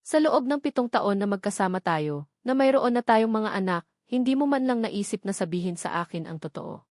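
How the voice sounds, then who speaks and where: A woman's voice: medium pitch, animated, a little fast, darkish tone, no room sound, studio quality, lightly acted. A woman voicing a betrayed wife in an audio love drama, bitter, accusing and emotional. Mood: bitter, hurt.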